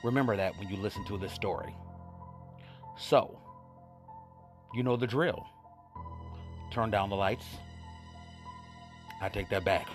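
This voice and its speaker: A man introduces spooky radio plays as their host. His voice is low and very slow, broken by deliberate dramatic pauses. Faint music plays behind him. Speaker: host of old-time radio horror show. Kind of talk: spooky scripted show introduction with asides.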